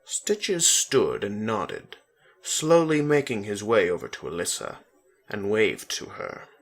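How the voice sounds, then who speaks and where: A man's voice: fairly low pitch, very animated, slow pace. A man narrating a story as a dramatic reading.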